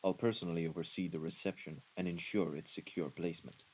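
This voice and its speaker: A man playing a composed, menacing mafia right-hand man in an audio roleplay, speaking in a formal, measured way. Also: deep voice, fairly even intonation, a little mellow, breathy edge, low-quality recording. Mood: calm, deferential. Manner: formal, measured, deliberate wording. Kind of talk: scripted audio roleplay acting. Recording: rain and ambience behind the voice.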